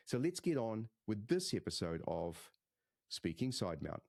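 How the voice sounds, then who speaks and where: A man hosting a diving podcast, reading a scripted introduction and then chatting with a guest in a measured, friendly way. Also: fairly low pitch, very animated, gravelly. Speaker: podcast host.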